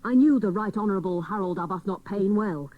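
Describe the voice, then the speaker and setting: A woman playing a dotty private detective, narrating in deadpan, mock hard-boiled style for comic radio drama. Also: medium pitch, fairly quick, narrow, tinny audio, close to an everyday voice.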